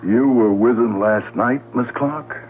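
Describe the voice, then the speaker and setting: An actor playing a probing, matter-of-fact police lieutenant in a 1970s radio drama, with a gravelly voice. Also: male voice, mid-range voice, unhurried, close to an everyday voice. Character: Lieutenant Bennett, probing, unhurried male police detective. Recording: tinny, noisy old radio broadcast.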